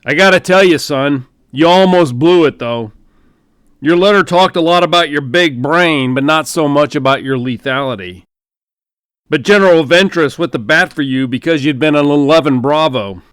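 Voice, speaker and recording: A man voicing a loud, blustery older male general barking congratulations over the phone. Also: a little high, normal speed, smooth, no room sound, clean studio sound, voice pushed up, close to an everyday voice. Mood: booming. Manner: loud, blustery, folksy military bark.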